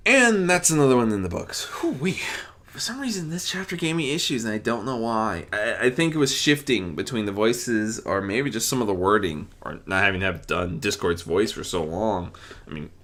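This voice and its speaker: A man reading a fan story aloud with expressive, dramatic narration, now and then stumbling over words. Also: fairly low pitch, normal speed. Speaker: amateur story reader.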